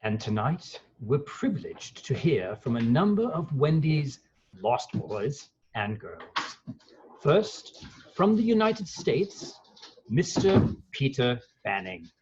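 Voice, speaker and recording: A man's voice: medium pitch, big pitch swings, takes it slowly, noisy background. A man playing a proper, elderly British lord, giving a stately formal speech in a table read, on a lo-fi recording. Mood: stately, ceremonial.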